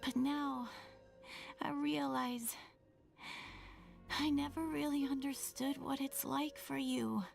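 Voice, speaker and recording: A woman voicing a tender, self-blaming younger sibling in a video game drama, weak and resigned, with halting, sighing delivery. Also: high voice, fairly even intonation, creaky, soft background music, heavily acted. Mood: sorrowful, tender.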